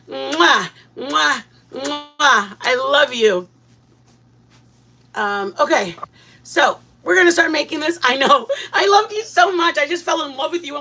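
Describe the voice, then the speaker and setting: A woman hosting a casual live cooking stream from her home kitchen, excitable, rambling and quick to giggle. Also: high voice, vocal fry.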